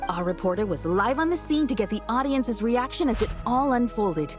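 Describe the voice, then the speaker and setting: A woman voicing a brash, cheeky tabloid street reporter in a video game, with an excitable, theatrical salesy delivery. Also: on the high side, a little fast, music in the background, natural voice. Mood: excited, dramatic. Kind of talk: video game character acting.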